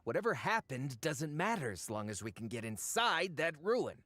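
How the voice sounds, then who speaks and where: An actor playing an impatient, greedy treasure seeker, brusque and pushy, in a video game. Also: male voice, on the high side, sing-song, normal speed, pushed and bright, no room sound, exaggerated voice.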